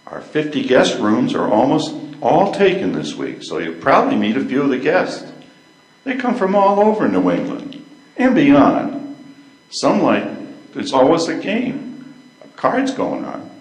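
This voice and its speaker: A man's voice: mid-range voice, wildly swooping pitch, a little slow. An older man in amateur readers theater playing a courteous, proud country hotel concierge with a salesman's patter. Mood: eager, courteous. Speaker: play reader. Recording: echoey room.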